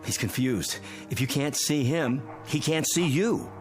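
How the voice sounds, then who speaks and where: An actor playing a cautious, commanding family friend in a radio drama, giving firm warnings and instructions. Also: male voice, medium pitch, measured pace, over music, lightly acted. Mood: firm explaining.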